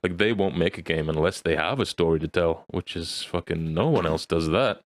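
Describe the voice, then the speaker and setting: A man's voice: sits low, normal speed, no echo. A man co-hosting a podcast, chatting casually and swearing freely. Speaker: podcast co-host. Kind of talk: casual chat, profane.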